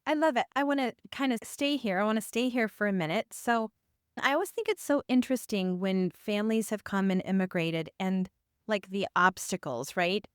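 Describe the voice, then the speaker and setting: A woman's voice: medium pitch, moderate pace, clean tone, no room sound, clean studio sound. A woman hosting a podcast interview, curious and encouraging, asking follow-up questions. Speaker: podcast host.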